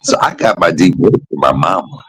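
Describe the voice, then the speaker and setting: A man's voice: sits low, lively intonation, a little fast, a bit bright, slightly rough. A man chatting casually from a hospital room, joking and teasing with bursts of laughter. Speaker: podcast host. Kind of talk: casual chat.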